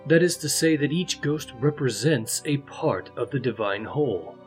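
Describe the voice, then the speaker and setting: Animated male narrator, formal scripted reading. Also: medium pitch, normal speed, breathy edge, soft background music. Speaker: narrator and reader.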